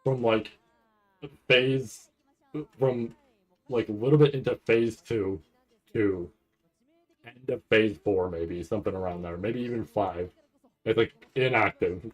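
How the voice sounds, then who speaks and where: A man giving casual live reaction commentary, nervous and rambling, halting and repeating his words as he goes. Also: fairly low pitch, rough recording. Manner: anxious, nervous anticipation. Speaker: anime commentator reacting to a livestream event.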